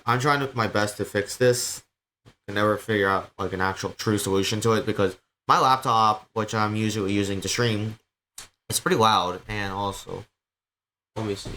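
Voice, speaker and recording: Low-pitched man rambling on a game stream. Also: pushed and bright. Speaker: livestreamer. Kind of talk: casual gameplay stream commentary.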